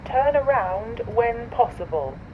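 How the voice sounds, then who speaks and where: An impassive female sat-nav voiced by a woman in an audio drama. It speaks slowly and politely with a husky edge, through a muffled, narrow device sound. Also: on the high side, lively intonation, no room sound, full character voice. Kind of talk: automated navigation prompt in audio drama.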